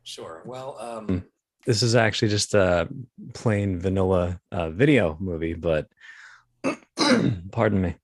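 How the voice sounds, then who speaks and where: Male, early thirties, low-pitched, dryly recorded; casual interview guest with a halting, self-deprecating wit. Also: sing-song, no room sound, studio quality. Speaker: guest, voice actor and comedian. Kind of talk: casual interview, anecdotal storytelling.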